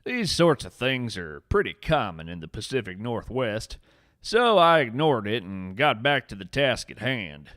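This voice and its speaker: A male podcast narrator telling scripted tales in a theatrical, solemn way. He speaks slowly and lets his pitch rise and fall widely, giving each line a poetic weight. The recording is close and dry. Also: big pitch swings, a bit bright, dry recording. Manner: theatrical, poetic, solemn delivery of whimsical material.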